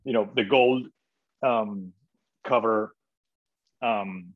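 A man from Spain chats as a podcast guest, stopping and restarting his sentences as he warms to the topic. His voice sits low. The recording is muffled and lo-fi. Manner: halting, many restarts, enthusiastic about music. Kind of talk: casual interview conversation.

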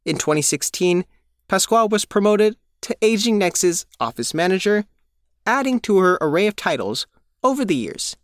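A man's voice: sits high, sing-song, moderate pace, no room sound, clean studio sound. A man narrating a scripted news feature as a reporter.

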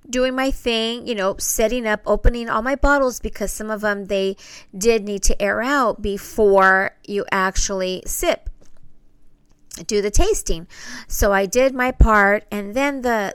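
A woman host casually telling personal stories in a chatty, meandering, excitable way. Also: medium pitch, clean tone, no room sound.

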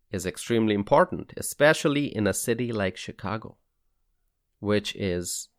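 A man with a Bangladeshi accent hosting a solo political podcast, speaking in a deliberate, emphatic way and repeating phrases for weight. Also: lowish voice, fairly bright tone, no echo, clean studio sound.

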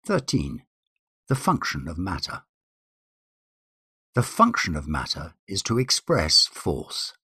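Deep-voiced man reading formally, husky edge. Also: wildly swooping pitch, slow pace, pauses often, bright, forceful tone, dry recording, studio quality. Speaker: guest reader. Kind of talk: formal scripted reading of numbered principles.

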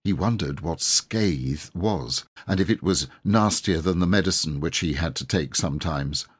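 A man's voice: deep voice, moderate pace, husky. A man narrating a story expressively from a script as an audiobook reader.

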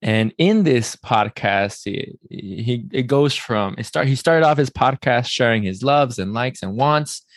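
A man hosts a casual podcast interview in a close, dry recording. His voice sits at an average pitch. He is friendly and welcoming, and he often doubles back on words and restarts his sentences. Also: dry recording. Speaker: podcast host.

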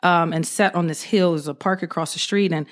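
A woman police officer telling an animated story in an interview, acting out what she said at the time. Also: fairly low pitch, normal speed. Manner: animated, acts out her own quotes.

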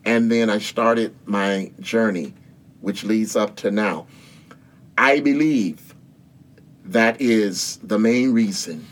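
Slow, earnest man in his sixties. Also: on the low side. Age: 60s. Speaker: guest. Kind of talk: spiritual interview talk.

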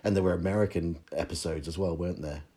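A man's voice: deep voice, steady tone, quick pace, warm tone, slightly rough. A middle-aged man with a New Zealand accent, a podcast guest chatting casually with dry, wry humour. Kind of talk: casual chat.

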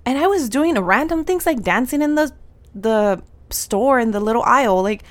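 A woman in her thirties hosting a solo podcast, bubbly and affirming, her voice slightly nasal and raspy today. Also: a little high, animated, moderate pace. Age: 30s. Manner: bubbly, affirming, with slang and laughs. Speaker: podcast host and soul mentor. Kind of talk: upbeat solo podcast talk.

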